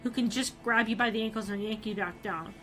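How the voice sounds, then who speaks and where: Bright, excitable young woman streamer. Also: mid-range voice, fairly quick, faint music behind. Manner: animated, excitable delivery with frequent restarts. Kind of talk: reading a story aloud on a live stream.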